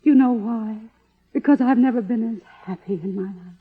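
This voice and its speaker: An actress playing an imperious, vain, middle-aged faded film star in melodramatic old radio drama style. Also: female voice, on the high side, normal speed, slightly rough. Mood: elated, grand. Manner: grandiose, melodramatic, commanding. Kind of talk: 1950s radio drama acting. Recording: dull old radio recording, reverberant.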